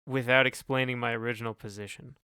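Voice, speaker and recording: A man with a bright, exceptionally smooth and clear voice, recorded dry. He chats casually as a podcast co-host, working through his thoughts hesitantly and often hedging or letting sentences trail away. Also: mid-range voice, steady tone, fast, no room sound. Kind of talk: casual off-the-cuff podcast discussion.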